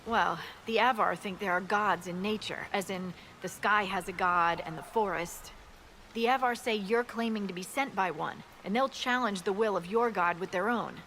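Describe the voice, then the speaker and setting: A woman's voice: mid-range voice, fairly bright tone, natural voice. An actress voicing a blunt, practical woman scout in a video game. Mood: briefing. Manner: blunt, brisk. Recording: game audio with ambient noise.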